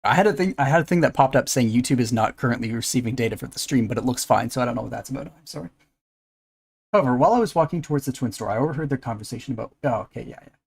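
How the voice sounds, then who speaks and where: A man's voice: mid-range voice, very fast, gravelly, breathy edge. A man streaming a visual novel, reading the story aloud and putting on voices for the characters. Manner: puts on character voices for dialogue. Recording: music under the voice.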